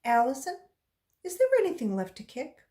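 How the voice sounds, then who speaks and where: A woman's voice: high-pitched, sing-song, normal speed, mellow, voice pushed up. A woman voicing a teasing playground man who questions children with mock hesitation in a storybook. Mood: teasing, stalling.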